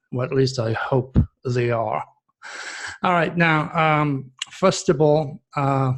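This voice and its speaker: Chatty French-accented man, slightly high voice. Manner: chatty, repetitive, checks in with okay. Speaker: astrologer host. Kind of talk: rambling solo video talk.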